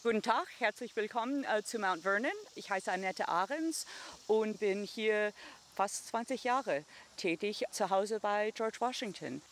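A woman's voice: medium pitch, lively intonation, measured pace, slight background noise. A middle-aged woman who grew up bilingual, a historic-site guide chatting outdoors in a friendly, slightly hesitant way. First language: English. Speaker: tour guide at a historic estate. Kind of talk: casual spoken explanation.

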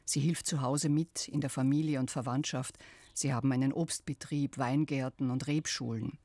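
A narrator reading a scripted radio feature in a calm, measured way. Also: medium pitch, dry recording.

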